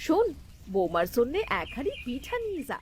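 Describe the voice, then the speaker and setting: A woman's voice: high voice, wildly swooping pitch, fairly quick, slight background noise. A woman voicing a motherly, chatty village aunt in a cartoon, doting and ready to scold. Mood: affectionate. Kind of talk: cartoon character dialogue. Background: music.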